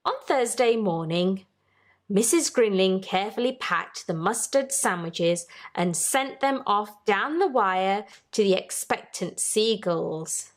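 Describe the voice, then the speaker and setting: Slow, highly expressive woman storyteller. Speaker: storytime host reading aloud. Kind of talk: expressive children's storybook narration.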